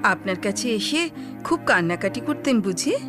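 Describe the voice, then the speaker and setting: A woman playing a brisk, friendly detective in an audio story, coaxing and conversational. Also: medium pitch, sing-song, music in the background, lightly acted. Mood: coaxing, probing.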